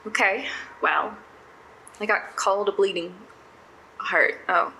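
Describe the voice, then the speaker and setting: An anxious, bright-voiced young intern. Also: female voice, medium pitch, vocal fry, a little hiss or hum, exaggerated voice, low-quality recording. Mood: dejected, self-critical. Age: young adult. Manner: flustered, halting, stammering. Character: anxious, self-doubting young female psychiatry intern, prone to panic. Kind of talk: naturalistic audio drama acting.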